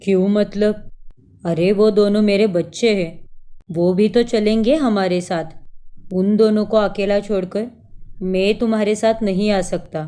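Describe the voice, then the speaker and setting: A woman voices a bewildered, hurt husband in a cartoon family drama. The delivery is slow and reproachful, with a very soft, mellow tone. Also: mid-range voice, fairly even intonation, close to an everyday voice. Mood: firm. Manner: pleading, reproachful. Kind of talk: melodramatic cartoon story acting.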